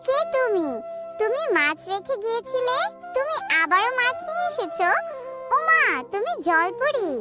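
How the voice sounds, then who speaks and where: A woman's voice: very high-pitched, big pitch swings, measured pace, over music, heavily acted. A woman voicing a little jackal girl in a cartoon, childlike and plaintive, calling out to her mother. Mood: excited. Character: worried, pleading little jackal girl, the daughter. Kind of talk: cartoon voice acting.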